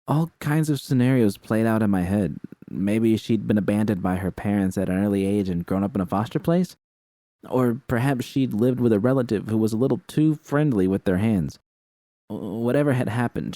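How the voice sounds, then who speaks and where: Deep-voiced man narrating a story. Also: lively intonation, moderate pace, dark tone, no echo, clean studio sound. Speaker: fanfiction reader. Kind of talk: first-person story narration.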